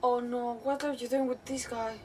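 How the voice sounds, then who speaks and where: A woman streaming a game, giving casual, expressive live reactions full of exclamations. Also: a little high, normal speed, far from the mic, a little hiss or hum.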